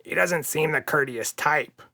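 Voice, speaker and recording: A man voicing a dour, worried little male monster who scolds in a slow, gravelly voice, for a children's storybook. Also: a little high, sharp tone, pitched above the performer's own voice, full character voice. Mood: dry.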